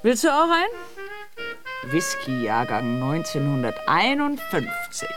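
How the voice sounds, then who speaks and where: A woman's voice: low voice, wildly swooping pitch, slow, over music, full character voice. A woman acting in a German radio drama as a bitter, weary wife who drinks, sardonic and languid. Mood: sardonic, tipsy.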